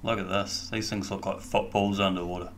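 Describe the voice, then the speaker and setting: A man's voice: sits low, level tone, a little fast, fairly bright tone, a little hiss or hum. A man with a New Zealand accent giving casual voice-over commentary as a diving vlogger. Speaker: vlog host and diver.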